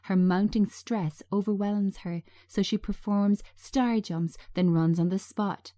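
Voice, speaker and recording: A woman narrating a screenplay in a measured, scripted reading style. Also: medium pitch, steady tone, dark tone. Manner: measured, present-tense scene reading.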